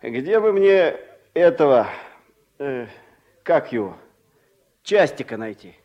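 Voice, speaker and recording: Lively, folksy wandering workman. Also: medium pitch, slow, muffled recording. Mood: friendly, bemused. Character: easygoing wandering workman, folksy and a bit bemused. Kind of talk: Soviet radio drama acting.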